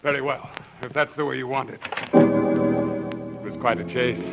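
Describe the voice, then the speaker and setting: Deranged murderous husband, old radio thriller. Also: male voice, mid-range voice, unhurried, gravelly, poor audio. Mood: frenzied. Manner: theatrical, swinging from hushed coaxing to frenzy. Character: deranged, murderous husband confessing his crime. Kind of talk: old-time radio thriller acting, first-person narration. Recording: old radio recording with loud music.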